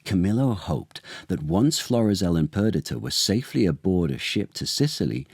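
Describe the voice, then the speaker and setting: Male actor for children's storytime: deep register, unhurried theatrical delivery, very dry sound. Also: a little mellow, slightly rough, dry recording. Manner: theatrical, unhurried, with dramatic pauses. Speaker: actor reading aloud as storyteller. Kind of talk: expressive children's storytime narration of a literary tale.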